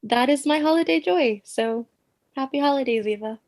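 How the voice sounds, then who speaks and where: A woman's voice: high voice, normal speed, a little mellow. A woman playing a sassy, wry best friend in a radio play, talking in a slangy, conversational way. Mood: sassy, affectionate.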